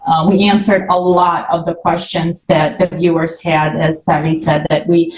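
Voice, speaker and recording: A woman heard from away from the mic in a roomy space. Her voice is lowish and fairly even as she gives an informal panel recap. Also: moderate pace. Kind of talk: informal recap on an online discussion. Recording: roomy, distant microphone.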